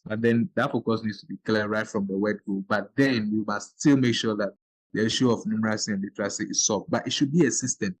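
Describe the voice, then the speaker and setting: A man's voice: lowish voice, steady tone, brisk, no room sound, rough recording. A man from Ghana, hosting a podcast and explaining his points earnestly. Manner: earnest, explanatory, with brief backchannel agreements. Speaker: podcast host. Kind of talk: podcast conversation.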